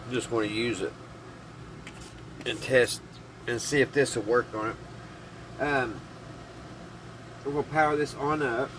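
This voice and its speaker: Folksy man over clear background noise. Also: mid-range voice, fairly even intonation. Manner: folksy, halting, thinking aloud. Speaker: hobbyist vlogger demonstrating vintage radio. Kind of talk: casual hands-on demonstration to viewers.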